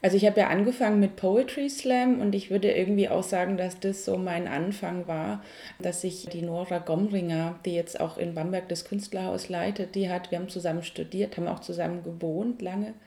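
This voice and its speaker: A woman's voice: lowish voice, fairly even intonation, fairly quick, mellow. A woman in her thirties from Franconia with a Franconian accent, a cabaret artist answering interview questions in a thoughtful, hesitant way with many fillers and restarts. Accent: Franconian German. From Franconia, Germany.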